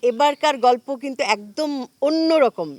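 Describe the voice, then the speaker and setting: Woman, Bangladeshi accent, high pitch, casual interview answer, close dry sound. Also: moderate pace, a little mellow, dry recording. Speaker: actor being interviewed.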